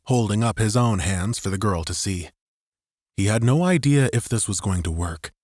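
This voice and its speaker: A man's voice: sits low, dry recording, studio quality. A man narrating dramatic fiction as a scripted audiobook.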